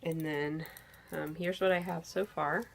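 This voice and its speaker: Low-voiced, slightly hoarse woman chatting. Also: fairly even intonation, unhurried, slight background noise. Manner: chatty, enthusiastic, lots of "um"s. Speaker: knitting podcast host. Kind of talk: casual show-and-tell chat. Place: bedroom with pillows, indoors.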